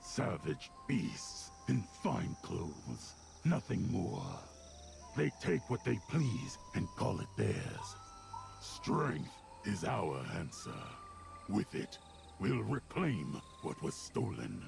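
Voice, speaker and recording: A fierce beastfolk warrior from a fantasy game, commanding and full of scorn. His voice is low and deeply gravelly. He speaks slowly with wide, dramatic swings in pitch. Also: background noise, exaggerated voice. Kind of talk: game voice acting.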